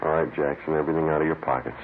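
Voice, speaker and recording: An actor playing a brisk, businesslike police detective sergeant in a 1950s radio crime drama, speaking in clipped, matter-of-fact phrases. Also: male voice, extremely low pitch, steady tone. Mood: brisk, procedural. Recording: noisy, tinny old 1950s radio recording.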